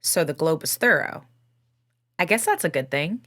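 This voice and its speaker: A woman's voice: sits low, lively intonation, normal speed, fairly bright tone. A woman acting as a skeptical questioner in an audio play, conversational and challenging.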